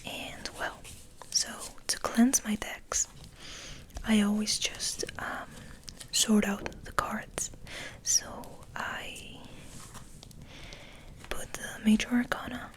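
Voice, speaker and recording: A woman hosting ASMR, rambling in a soft, breathy, hesitant whisper. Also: mid-range voice, level tone, slow pace. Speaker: ASMR video host.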